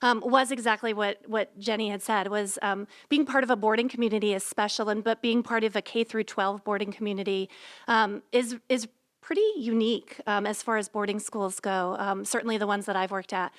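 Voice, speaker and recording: A woman in her forties, a residential life director, welcoming visitors at a school panel with many pauses and ums. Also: medium pitch, steady tone. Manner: many filler ums, warm conversational. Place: school dorm common room.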